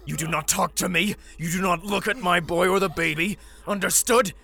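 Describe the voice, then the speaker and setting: Man acting a gravelly, desperate, protective father. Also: sits high, steady tone, moderate pace, pushed and bright, heavily acted. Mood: furious, desperate. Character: desperate, protective father fleeing through underground tunnels. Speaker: first-person narrator and lead character. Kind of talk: dramatic audio drama acting and narration.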